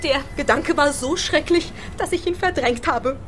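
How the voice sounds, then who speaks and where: An earnest, dramatic actress, played by a woman in a radio play, with a distinctly high voice. She speaks with theatrical seriousness and pride in her craft, over slight background noise. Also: moderate pace, natural voice. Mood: troubled. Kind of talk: German-language radio play acting.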